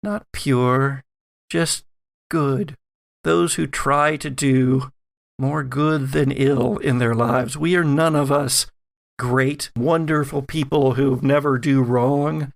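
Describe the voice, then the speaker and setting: A man voicing a solemn old grandmother, a grave mystic who pleads in halting, weighty pauses, in a tabletop game. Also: medium pitch, steady tone, creaky. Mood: grave, pleading.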